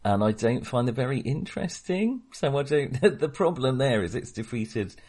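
A man's voice: mid-range voice, sing-song, moderate pace, a little mellow, slightly rough. A man co-hosting a casual film discussion, talking in a hesitant, wry and opinionated way. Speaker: podcast co-host.

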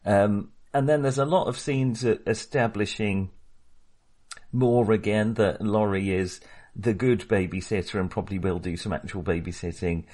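A man's voice: on the low side, steady tone, normal speed, a little mellow. A middle-aged man co-hosting a casual film discussion, talking in a wry, hesitant, self-correcting way. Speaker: film podcast co-host.

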